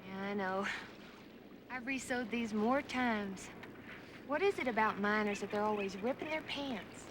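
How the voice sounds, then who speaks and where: An actress playing a friendly young miner's daughter in a folksy television western. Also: female voice, mid-range voice, measured pace, natural voice. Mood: gentle, curious. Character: sweet, friendly young miner's daughter mending clothes in gold camp. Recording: noisy VHS tape transfer.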